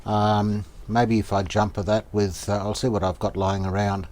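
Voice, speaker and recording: Man, lowish relaxed voice, casual workshop repair commentary, tentative, thinking aloud. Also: normal speed. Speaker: hobbyist radio restorer presenting a repair video. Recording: steady background noise.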